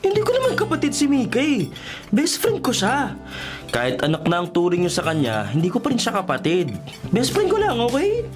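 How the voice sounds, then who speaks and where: An actress playing a grumbling, defensive person in their twenties in a radio drama, casual and a little whiny. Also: female voice, a little high, wildly swooping pitch, brisk. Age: 20s. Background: music.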